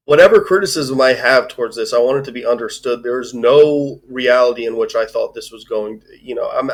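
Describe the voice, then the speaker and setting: A man co-hosting a casual live movie discussion, talking in a halting, rambling way with plenty of swearing. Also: mid-range voice. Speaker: co-host reviewing a film. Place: live online stream.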